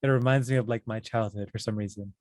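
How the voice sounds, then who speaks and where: A woman hosting a podcast, asking relaxed quick-fire questions in casual chat. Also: very deep voice, no echo, clean studio sound. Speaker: podcast host.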